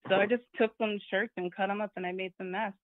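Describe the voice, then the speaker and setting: A woman's voice: mid-range voice, fairly even intonation, fast, no echo. Woman caller on a tinny phone line, kinda scratchy voice, giggles a lot. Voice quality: a little scratchy. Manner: giggly, friendly. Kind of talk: casual phone call.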